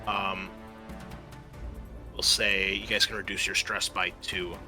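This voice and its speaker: Male game master, bright forceful voice, halting explanatory delivery, faint music behind. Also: deep voice, level tone. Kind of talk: narrating a tabletop roleplaying game.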